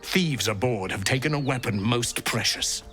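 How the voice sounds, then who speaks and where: A man playing a haughty, menacing alien knight commander in a video game, speaking imperiously and deliberately. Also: medium pitch, fairly bright tone, faint music behind, lightly acted. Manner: imperious, slow, deliberate, threatening. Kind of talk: dramatic video game acting.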